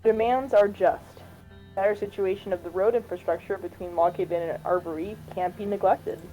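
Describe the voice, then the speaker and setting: A woman's voice: mid-range voice, steady tone, normal speed, dull audio, soft background music, close to an everyday voice. A woman voicing a principled female politician who speaks up for ordinary citizens in a political game. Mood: earnest, insistent. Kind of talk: amateur voiced read of game dialogue.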